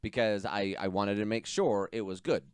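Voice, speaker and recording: Easygoing thirtysomething male co-host, low-pitched, casual, recorded dry on a boom mic. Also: steady tone, normal speed, clean tone, no echo. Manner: easygoing, interjects short agreements. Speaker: podcast co-host. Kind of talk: casual hobby podcast chat. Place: small home workshop indoors. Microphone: studio mic on boom arm, close. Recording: dry close podcast mic.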